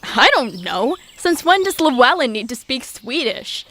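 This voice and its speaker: A woman's voice: sits high, sing-song, unhurried, bright, forceful tone, full character voice. A woman playing a sensible, put-upon young courtier in a comic stage-style audio drama, matter-of-fact and reproachful. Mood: matter-of-fact, defensive.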